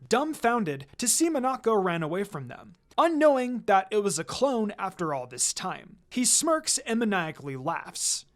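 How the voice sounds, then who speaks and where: A narrator reading fan fiction aloud in a scripted, expressive storytelling style. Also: mid-range voice. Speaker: story reader.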